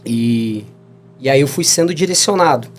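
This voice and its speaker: A man's voice: mid-range voice, lively intonation, normal speed, slight background noise. A Brazilian man in his thirties, a podcast guest telling stories in a rambling casual way, often adding "né?" and laughing along. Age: 30s. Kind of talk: casual storytelling interview.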